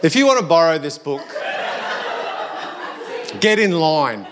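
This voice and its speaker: Australian male preacher, slightly high voice with a hoarse edge, conversational and reflective sermon delivery. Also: big pitch swings, unhurried, noisy background. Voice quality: slightly croaky. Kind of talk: sermon to a congregation.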